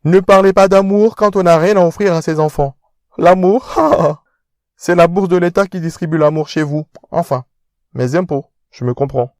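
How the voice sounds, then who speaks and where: A man playing a pompous, high-voiced rich fiancé. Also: normal speed, darkish tone, dry recording, studio quality. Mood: scornful. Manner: pompous, condescending, formal. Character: haughty rich young fiancé, Charles de la Tortue. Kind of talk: independent audio drama acting.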